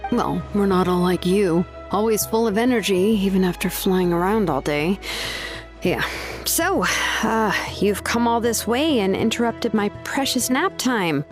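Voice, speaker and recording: A woman's voice: mid-range voice, measured pace, soft background music, close to an everyday voice. A woman playing a drowsy, laid-back young craftswoman in a video game, languid and dry. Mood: dry, grumbling.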